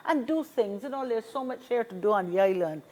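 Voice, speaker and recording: A woman's voice: fairly high pitch, lively intonation, fairly quick, darkish tone. A woman in her sixties with a Barbadian accent, giving encouraging advice in a conversational interview, emphatic and repeating key phrases. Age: 60s. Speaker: interview guest, seniors' advocate.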